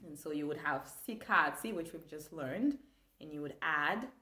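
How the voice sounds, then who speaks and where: A woman's voice: low voice, moderate pace, echoey room. A young woman from Zambia, teaching an online language lesson with enthusiasm, gesturing as she talks. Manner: animated, gestures a lot, enthusiastic. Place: small room indoors.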